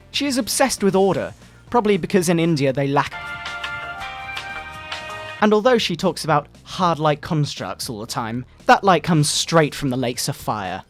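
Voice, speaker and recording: A pompous fundamentalist doctor, acted by a man as satire, sermonizes with theatrical indignation. The voice sits slightly high and swings widely in pitch, with retro video game music playing softly behind it. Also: natural voice. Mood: disdainful. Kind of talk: dramatic satirical scripted reading.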